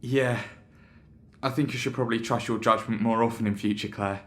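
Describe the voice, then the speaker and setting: An actor playing a sheepish, excitable young video maker in an audio drama, hesitant, apologetic and easily flustered. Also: male voice, medium pitch, fairly quick, close to an everyday voice.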